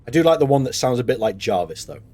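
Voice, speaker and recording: A man's voice: mid-range voice, expressive, fast, dark tone. A man with a Mancunian accent chatting casually while live-streaming a game, rambling and laughing often. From Manchester, England.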